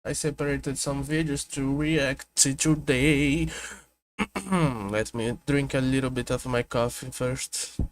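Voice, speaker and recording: Slow, halting man streaming. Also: medium pitch, fairly even intonation. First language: Portuguese. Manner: halting, self-correcting, thinking aloud. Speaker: vtuber streamer. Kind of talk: casual reaction stream chat. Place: home room with air conditioner hum.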